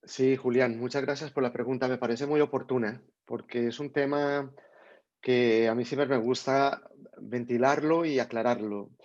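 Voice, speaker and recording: A man's voice: medium pitch, fairly even intonation, normal speed, darkish tone, no room sound. A Colombian man, a writer, talking thoughtfully on a video call, often restarting and repeating words. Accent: Colombian (Medellín). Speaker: guest writer. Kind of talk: hesitant conversational talk on a video call. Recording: dull lo-fi video call audio.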